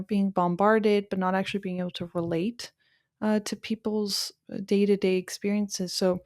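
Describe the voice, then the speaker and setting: A woman's voice: mid-range voice, darkish tone. Woman host, reflective with many fillers. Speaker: podcast host. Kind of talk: interview questions.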